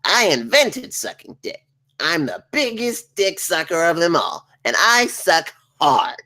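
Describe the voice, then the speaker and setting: A woman's voice: fairly low pitch, sing-song, a little slow, sharp tone, full character voice. A woman playing a cocky, spiteful male spaceship officer with a boastful manner in a comedy table read. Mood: cocky, hostile. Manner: boastful, hostile.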